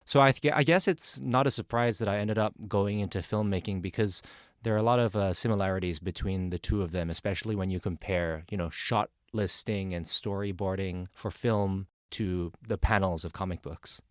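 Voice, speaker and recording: Young man, podcast guest, thoughtful and articulate, lowish smooth voice, dry close sound. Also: fairly even intonation, moderate pace, dry recording. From born in Malaysia, raised in Australia. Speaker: documentary filmmaker, guest. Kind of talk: podcast interview.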